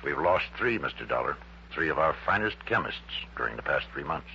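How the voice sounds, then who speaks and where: Very deep, pompous project boss man. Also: animated, fairly quick, vocal fry, bright, forceful tone, slight background noise, full character voice. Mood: grave. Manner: formal, pompous, emphatic. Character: proud, grandiose male head of a rocket-fuel project. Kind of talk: 1960s radio drama acting. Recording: dull, humming early-1960s radio recording.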